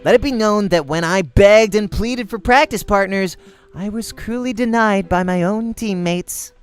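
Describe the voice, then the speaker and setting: Childish, boisterous young male gamer, acted by a man, pushed up in pitch, theatrical visual novel delivery. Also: normal speed, smooth, soft background music, close to an everyday voice. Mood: loud, theatrical. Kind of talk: amateur visual novel character acting.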